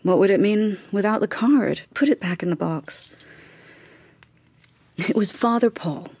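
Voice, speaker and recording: Middle-aged well-to-do wife, radio drama, medium pitch, dry close recording, clipped, halting, bitter. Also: female voice, a little mellow, husky, no echo. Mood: distracted, terse. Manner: clipped, halting, repeating phrases. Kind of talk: naturalistic radio drama acting.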